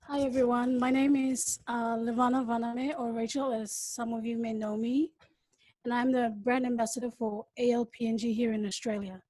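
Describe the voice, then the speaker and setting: A young woman from Papua New Guinea, a law student, introducing herself in a friendly, steady way. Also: a little high, moderate pace, no room sound, low-quality recording. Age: young adult. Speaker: law student, brand ambassador. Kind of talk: self-introduction in a webinar.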